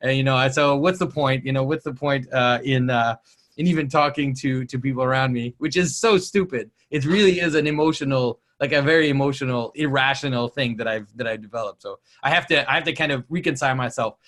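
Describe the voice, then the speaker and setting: A man's voice: mid-range voice, brisk, a bit bright. A man from Quebec with a French Canadian accent, French his first language, talking things through in a thoughtful, hesitant way with many restarts. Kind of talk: casual conversation.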